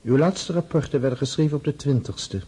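An actor playing a stern, reproachful Russian tsar, reading out a letter in a measured, formal manner in a radio drama. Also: male voice, medium pitch, dark tone, breathy edge, close to an everyday voice.

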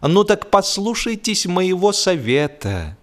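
A man puts on a stern, suspicious policeman for a comic audiobook. He speaks deliberately and with condescension, his pitch lifted and moving in lively swings. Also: heavily acted. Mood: condescending. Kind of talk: humorous audiobook character voice.

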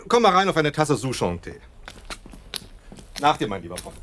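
An actor playing a brilliant, cheerfully superior gentleman detective in a German radio play, eloquent and suave with a wry condescension. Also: a little high, sing-song, moderate pace, very noisy. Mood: grave, confident.

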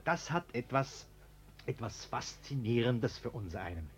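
Anxious, ingratiating bourgeois man, swooping pitch. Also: very animated, measured pace. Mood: earnest, chummy. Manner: hesitant, over-polite, chummy, nervous chuckling. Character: anxious, ingratiating middle-class male homeowner, falsely jovial. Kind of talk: German radio play acting.

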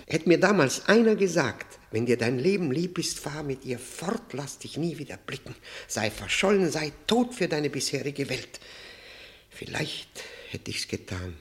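An actor in a German radio play playing a tormented, weary man who confesses in halting, broken-off sentences with a gravelly voice. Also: a little high, big pitch swings. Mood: bitter longing.

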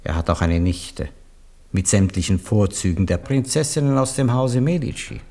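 Insinuating royal adviser. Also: male voice, lowish voice, lively intonation, a little mellow. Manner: measured, warning, insinuating. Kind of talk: 1970s radio play acting.

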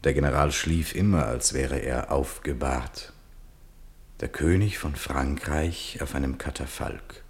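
A solemn storyteller in a literary radio play. His voice is extremely low, and he narrates in measured, literary phrases. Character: solemn male narrator of a general's dreams.

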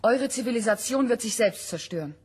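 Cold, husky artificial woman. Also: fairly high pitch, expressive, a little fast. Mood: cold, pronouncing. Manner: detached, pronouncing, coolly logical. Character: cold, superior artificial young woman serving an alien computer. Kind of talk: German radio play acting, 1970.

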